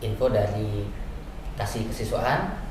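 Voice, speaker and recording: A man's voice: on the low side, takes it slowly. Distant, very echoey male interview guest. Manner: conversational, step-by-step explaining. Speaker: school principal, interview guest. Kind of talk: explanatory radio interview answers. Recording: very echoey, distant mic, noisy room.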